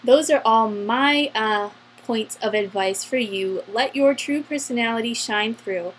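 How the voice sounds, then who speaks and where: A woman's voice: on the high side, unhurried, slight background noise, poor audio. A young adult woman giving casual, upbeat advice in a chatty vlog style, stretching words for emphasis. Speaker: vlogger giving interview advice.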